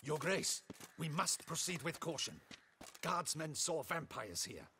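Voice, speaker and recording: A man's voice: fairly high pitch, sing-song, measured pace, sharp tone, slight background noise, full character voice. A man playing a dutiful, cautious guard captain in a video game, speaking formally and deferentially. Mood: wary, deferential. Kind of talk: cinematic video game dialogue.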